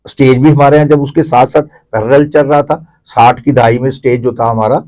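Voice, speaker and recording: Pakistani man, guest commentator explaining stuff in an interview, soft dark tone, average pitch. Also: fairly even intonation.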